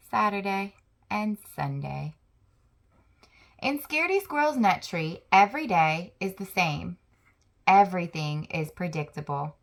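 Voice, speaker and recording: A woman hosting a children's channel, reading a picture book aloud with slow, expressive storytelling. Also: mid-range voice, creaky.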